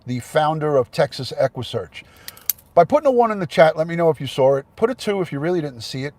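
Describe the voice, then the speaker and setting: A man's voice: on the high side, darkish tone, dry recording. A man hosting a casual livestream, congested with a head cold, chatting and thanking viewers by name. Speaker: livestream host.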